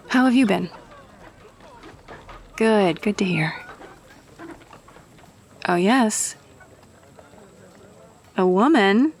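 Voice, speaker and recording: A woman acts out a grubby, good-natured rogue for hire in a fantasy audio roleplay. She talks in an unhurried, slightly low voice, casual and chummy with soft pauses, as if to an old friend. Clear ambient noise sits behind her. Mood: chummy, curious.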